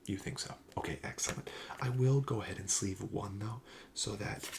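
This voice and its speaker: A man speaking softly in a breathy half-whisper, playing a gentle, polite shop clerk in an ASMR roleplay. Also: fairly low pitch, normal speed, noisy background. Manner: gentle, polite, attentive salesman.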